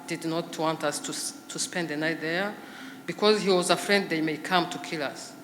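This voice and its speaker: A woman gives her testimony as a genocide survivor, speaking haltingly with many pauses and fillers at a podium microphone. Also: deep voice, fairly bright tone. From Rwanda. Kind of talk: personal testimony speech. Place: large commemoration hall. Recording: echoey hall with faint background noise.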